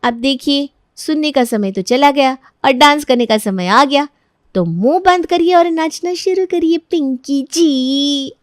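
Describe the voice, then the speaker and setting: A woman's voice: high-pitched, a little slow, no room sound, studio quality, voice pushed up. A woman voicing a cocky young female college senior who taunts and bosses, acted for a moral story. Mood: mocking, bossy. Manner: taunting, bossy. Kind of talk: Hindi moral story character acting.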